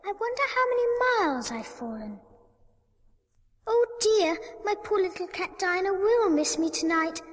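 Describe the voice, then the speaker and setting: A woman's voice: very high voice, animated, moderate pace. A woman playing a polite, curious young girl in a storybook recording, prim and wondering. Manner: prim, wondering, well-spoken. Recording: long reverb.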